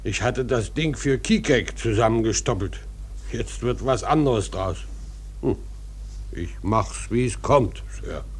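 A man's voice: mid-range voice, lively intonation, takes it slowly, breathy edge, full character voice. A man playing a plain-spoken ship's carpenter in a German radio drama, muttering and deferential but unbothered. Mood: stolid, muttering.

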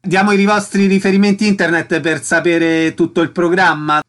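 A man's voice: high-pitched, little pitch movement, moderate pace, fairly bright tone. A man hosting a radio interview, announcing programme details and dates. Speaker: radio host.

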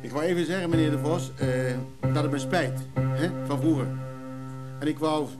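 An actor playing an apologetic, ingratiating antiques hustler in a radio play, smooth but hesitant. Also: male voice, on the high side, fairly even intonation, normal speed, loud music behind the voice, lightly acted.

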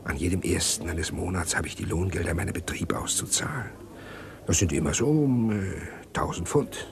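An actor playing an anxious condemned man in a German radio crime play, speaking haltingly and formally as he confesses. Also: low voice, lively intonation, creaky, noisy background. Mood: hushed, scheming. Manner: halting, stammering, formal wordy speech. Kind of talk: 1950s to 80s German radio crime drama acting.

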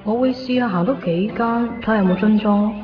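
An actress plays an agreeable young woman with a Hong Kong Cantonese accent. Her medium-pitched voice sits back from the microphone in a radio drama. The sound is dull, with guitar behind. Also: a little fast, distant mic, lightly acted. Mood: easygoing. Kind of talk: 1990s radio drama acting.

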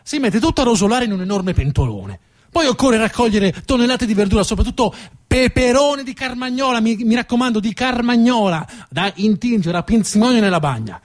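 A man's voice: extremely high pitch, expressive, fairly quick. A man narrating as a stage storyteller, dramatic in delivery and repeating words for emphasis.

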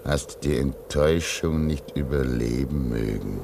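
An actor playing a pious, weary old man at a polar station in a German radio play, speaking solemnly and deliberately. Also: a bass voice, creaky, very noisy, exaggerated voice. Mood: grieving, resigned. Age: older.

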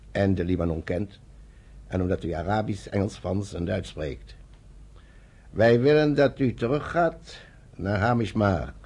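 Male for authoritative army general, radio drama; slightly husky mid-range voice, formal and measured phrasing. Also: medium pitch, a little mellow. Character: commanding British general, formal, measured, persuasive. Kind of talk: Dutch radio drama acting.

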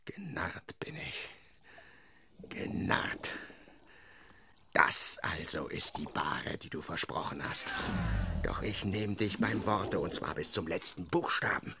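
An actor playing an obsessed, commanding whaling captain in a German radio play, theatrical and gravelly, swinging from mutters to bellowed orders. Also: male voice, low-pitched, takes it slowly, pauses often, sharp tone, heavily acted. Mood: bitter, stunned.

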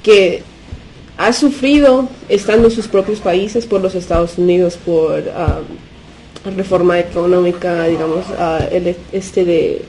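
A woman from the United States, a native Spanish speaker, talking unscripted as a radio interview guest. She speaks haltingly, searching for words and slipping in English fillers. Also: fairly low pitch, warm tone, slight background noise, rough recording. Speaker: interview guest from a punk collective.

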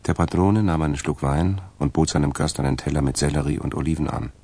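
A man with a gravelly voice narrating a German radio crime drama from a script. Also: rumbling low, fast, a little mellow.

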